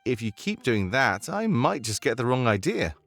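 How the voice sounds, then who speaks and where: A man's voice: medium pitch, sing-song, normal speed, vocal fry, a bit bright, no echo, soft background music. A man voicing an earnest rebel guide in a visual novel game, conscience-stricken but with a playful streak, talking in a musing, conversational way.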